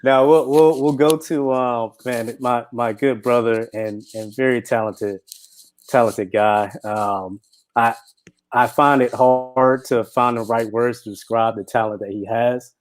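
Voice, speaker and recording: A man hosting a live Q&A as a film director, speaking informally and haltingly as he searches for words. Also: mid-range voice, fairly even intonation. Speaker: film director and event host. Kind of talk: casual live Q&A introductions.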